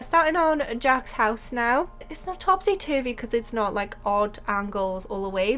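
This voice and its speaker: A young Welsh woman with a Welsh accent, chatting excitedly about a game update and then calmly explaining her building steps. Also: mid-range voice. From Wales. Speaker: game content creator. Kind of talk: casual gameplay commentary.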